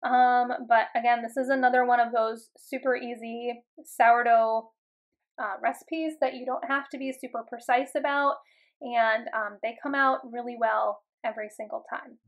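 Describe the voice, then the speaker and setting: A woman in her thirties talking casually over her home cooking, relaxed and chatty, with frequent ums and uhs. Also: fairly high pitch, moderate pace.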